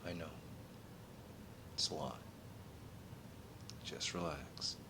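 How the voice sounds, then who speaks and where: A man with a very low voice speaks slowly over a clearly noisy, rumbling recording. He performs a soft-spoken ASMR role play as a calm recruiter for a secret order, reassuring the listener in short, paused phrases.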